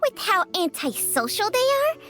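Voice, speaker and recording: A woman's voice: extremely high pitch, very animated, normal speed, fairly bright tone, full character voice. A woman voicing a haughty, ancient female snake spirit in an anime game, theatrical and scornful in manner. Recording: game music behind.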